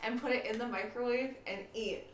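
Female podcast guest, high voice, casual interjections from off mic in a roomy space. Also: a little fast, recorded from a distance, slight background noise. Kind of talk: casual chat, short interjections. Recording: echoey room, away from the mic.

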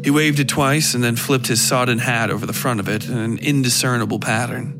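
A man giving a scripted narration of a dark horror tale for a fiction podcast. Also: medium pitch, fairly even intonation, a little fast. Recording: clear music behind the voice.

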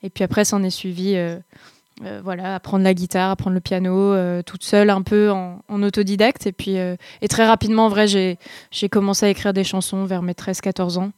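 A woman's voice: medium pitch, flat delivery, fairly quick, darkish tone, no echo. Hesitant young woman, dry close sound. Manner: hesitant, many fillers and restarts. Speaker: singer-songwriter guest. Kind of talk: casual interview answers.

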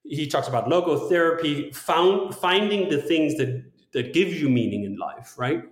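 Male interview guest, slightly high pitch, reflective and emphatic conversational delivery, roomy sound. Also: expressive, normal speed. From Denmark. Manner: philosophical, thinking aloud, emphatic. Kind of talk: reflective long-form conversation.

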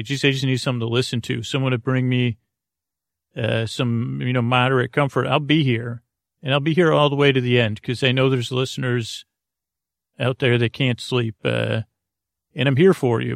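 Male bedtime podcast host, mid-pitched with heavy vocal fry, rambling, trailing off, gently unhurried. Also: no room sound, studio quality. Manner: meandering, halting, repeats and trails off.

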